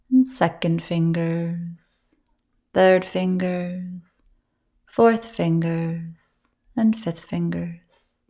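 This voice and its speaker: Woman, meditation teacher, low voice, even monotone, soft and breathy, soothing, measured with long pauses. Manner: soothing, gentle, measured delivery with long pauses between phrases. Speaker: yoga nidra teacher. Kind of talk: guided meditation.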